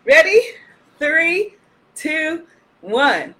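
A high, bright-voiced woman from Guyana hosts an online festival over a livestream. She is loud and energetic, chanting and cheering her viewers on. She takes her words unhurriedly. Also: very slow, low-quality recording. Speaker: host of an online spiritual festival. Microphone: phone or webcam on a livestream.

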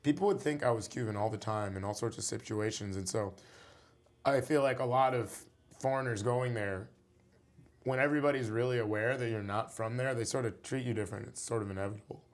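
A man's voice: mid-range voice, brisk. A man, a musician guest in an interview, talking casually and rambling with many fillers.

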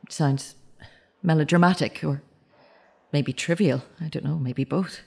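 An actress playing a fragile, grieving middle-aged mother in a naturalistic audio drama, speaking in a halting, confessional way. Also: low-pitched, gravelly, dark tone, slightly rough, full character voice. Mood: grieving, restrained. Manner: halting, self-correcting, confessional. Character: grieving mother of a murdered teenage son, fragile.

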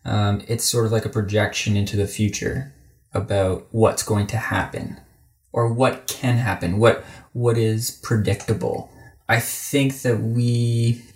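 A man with a family counseling background, explaining ideas thoughtfully with many pauses and fillers. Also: on the low side, steady tone. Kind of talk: casual interview talk.

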